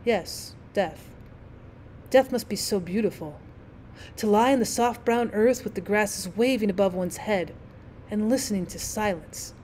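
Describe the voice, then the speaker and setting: A woman voicing a lonely old male ghost in a storybook, low and dreamy, speaking in a sad, wistful way. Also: mellow, slight background noise, lightly acted. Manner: slow, mournful, wistful delivery. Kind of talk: storybook character reading.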